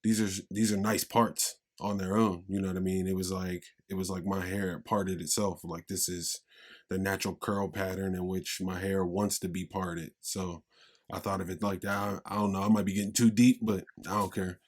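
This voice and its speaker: Young male podcast host from Pittsburgh, energetic and slangy, low voice. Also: moderate pace. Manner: upbeat, slangy, hype greetings. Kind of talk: casual interview chat.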